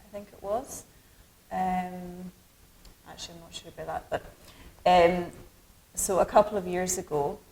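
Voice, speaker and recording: Scottish woman, low voice, thoughtful. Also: fairly even intonation, unhurried, gravelly, pauses often, darkish tone, low-quality recording. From Scotland. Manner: thoughtful, many ums and restarts. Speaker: documentary director. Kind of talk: interview answers.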